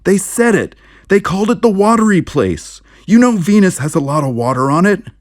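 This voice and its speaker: A man voicing an excitable, exasperated character in an audiobook, pleading and yelling in an agitated way. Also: moderate pace, no echo, studio quality. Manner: agitated, high-strung yelling.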